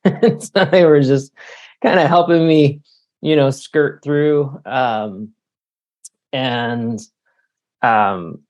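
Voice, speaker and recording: Guy in his 40s, low gravelly fry in his voice, talking casually and haltingly like an interview guest. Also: muffled recording. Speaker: author being interviewed. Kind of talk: casual conversational interview answers. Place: remote call.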